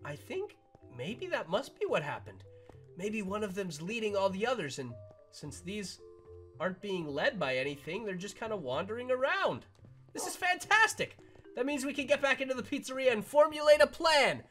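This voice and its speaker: A woman playing an excitable, jumpy animatronic fox in a chatty, theatrical Minecraft roleplay. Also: mid-range voice, normal speed, soft background music. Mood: relieved, upbeat. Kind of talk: improvised Minecraft roleplay for a family audience.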